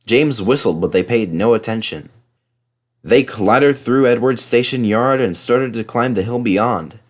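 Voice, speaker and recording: A man's voice: medium pitch, unhurried. A man reading a scripted children's story aloud as narrator. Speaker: storybook reader.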